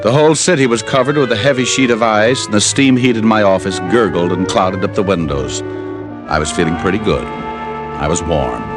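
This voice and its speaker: An actor playing a wry, easygoing private detective in an old radio drama, narrating and talking in a dry, laid-back way. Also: male voice, lowish voice, moderate pace, natural voice. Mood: drowsy, content. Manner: dry, laid-back first-person storytelling. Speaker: actor in the lead role and narrator. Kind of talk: 1940s-50s radio detective drama acting. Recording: old radio recording with music behind.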